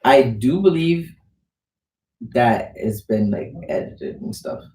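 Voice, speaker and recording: A man's voice: mid-range voice, sing-song, slow pace, darkish tone, far from the mic. A young man of about eighteen from the Bahamas, talking casually and searchingly about religion with lots of filler words. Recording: echoey, off-mic, faint noise.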